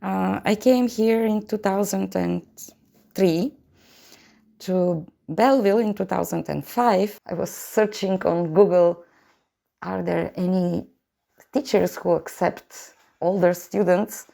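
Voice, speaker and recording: A woman's voice: mid-range voice, slow, gravelly, warm tone. A woman in her sixties or older from Bulgaria, with a Bulgarian accent, speaking casually in an interview as an adult music student. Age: 60s or older.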